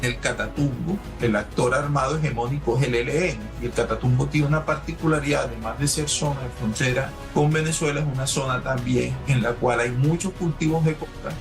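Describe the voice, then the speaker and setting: A man being interviewed as an analyst, explaining in a steady way. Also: mid-range voice, a little fast, creaky, few pauses. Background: music bed.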